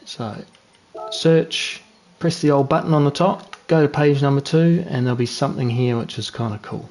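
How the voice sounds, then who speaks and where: A man's voice: mid-range voice, moderate pace, a little mellow, slight background noise. Laid-back New Zealand man streaming. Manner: laid-back, chatty, self-deprecating. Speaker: sim racing streamer. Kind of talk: casual gameplay commentary.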